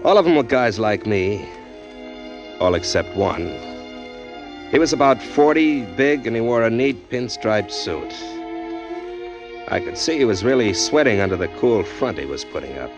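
An actor playing a streetwise, hardboiled drifter who narrates in a tough, dry, wisecracking way, in 1940s radio acting. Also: male voice, fairly low pitch, animated, moderate pace, pauses often. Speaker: narrator and lead. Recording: dull lo-fi 1940s radio recording, faint music.

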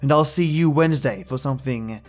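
A man's voice: mid-range voice, brisk, soft background music. A man hosting a podcast, giving friendly, chatty commentary to his listeners. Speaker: podcast host.

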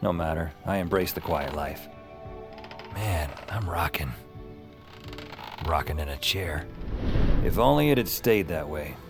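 A man's voice: deep voice, lively intonation, unhurried, long pauses, over music. An actor playing a wry, rattled young man who narrates his own strange story in a colloquial, self-deprecating way. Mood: wry, reflective. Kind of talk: first-person audio drama narration.

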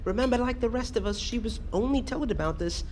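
An actress playing a goofy, eager joker and nervous peacemaker in an audio drama, animated and coaxing. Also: female voice, fairly high pitch, fast talker, lightly acted. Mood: placating. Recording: faint ambient background noise.

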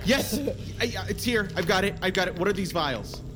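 An actress playing a tense, determined rescuer in an audio drama, speaking in a brisk, practical, urgent way. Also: female voice, mid-range voice, expressive, music in the background, close to an everyday voice. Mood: rattled.

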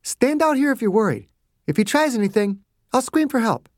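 A narrator voicing a brisk woman doctor. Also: medium pitch, sing-song, no room sound, clean studio sound, pitched above the performer's own voice, close to an everyday voice. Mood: firm. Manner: brisk, firm. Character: woman doctor, confident and no-nonsense.

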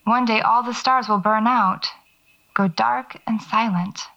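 An actress playing a pensive, wry horror writer, musing reflectively into a taped diary in an audio drama. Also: female voice, a little high, fairly even intonation, a little slow, gravelly, bright, forceful tone, exaggerated voice. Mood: pensive, wistful. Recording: slightly dull cassette diary sound.

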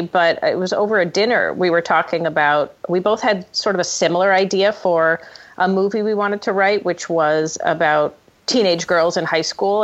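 A woman with a slightly low voice talks casually in an interview, in wry and self-deprecating storytelling mode. The audio is somewhat muffled and lo-fi. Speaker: screenwriter guest. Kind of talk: casual conversational interview, telling stories. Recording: dull, lo-fi podcast audio.

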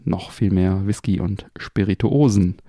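A man's voice: sits low, a little slow, warm tone, dry recording, studio quality. A man hosting a podcast in a casual, chatty style. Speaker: podcast host. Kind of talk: casual chat.

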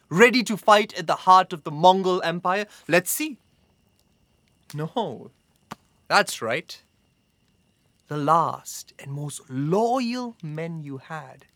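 A man's voice: a little high, a bit bright, no room sound. A man playing a stern, scornful young camp guard in an audio drama, with a formal, curt, intense manner.

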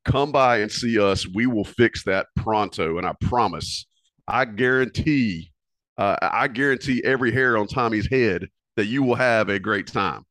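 A man's voice: lowish voice, a little slow, a bit bright, dry recording. A man co-hosting a college football pregame show, talking in emphatic, teasing banter. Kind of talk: casual promo chat.

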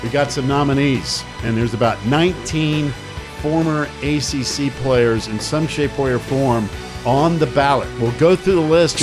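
A man hosting a sports talk radio show, chatting with a co-host and taking phone calls in an emphatic, repetitive way. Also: mid-range voice. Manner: chatty, emphatic, repeats himself.

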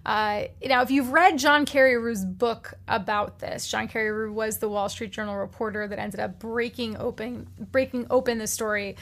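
A woman podcast host giving a chatty, animated recommendation with plenty of fillers. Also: on the high side, moderate pace, hardly any pauses. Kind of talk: casual chat, recommendation segment.

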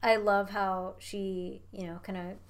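A young adult woman, raised in Indonesia, talks in a loose, animated way. Her phrases are strung together with "like" and "you know what I mean". She swears now and then without fuss. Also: medium pitch, measured pace, clear, resonant tone. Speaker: guest. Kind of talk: casual chat.

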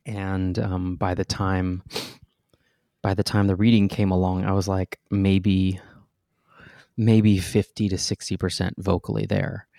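A man with a low voice and a gravelly edge tells a backstage story in a relaxed interview. He rambles and restarts as he goes, and laughs at himself. The sound is close and dry. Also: measured pace, no echo. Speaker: guest actor.

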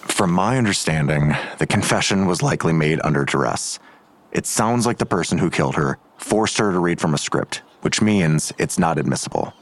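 A man's voice: low-pitched, animated, moderate pace. A man playing a weary, grudging police detective in an audio drama, curt and sardonic in manner. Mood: curt, scornful.

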